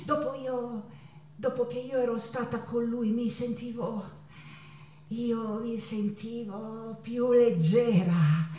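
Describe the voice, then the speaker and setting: A passionate woman painter baring her love life on stage. She speaks slowly and intimately, halting and repeating herself, with playful teasing. The sound is echoey and a little far from the mic, with faint music. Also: on the high side, fairly even intonation, mellow, slight background noise. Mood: dreamy, tender. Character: Frida Kahlo, passionate woman painter confiding about her lovers. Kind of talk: theatrical stage monologue with dramatic pauses.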